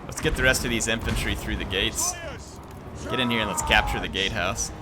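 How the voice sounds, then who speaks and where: A man's voice: fairly low pitch, a little fast, music in the background. A man hosting a gaming channel, giving playful, jokey live commentary on a battle and chatting with his viewers.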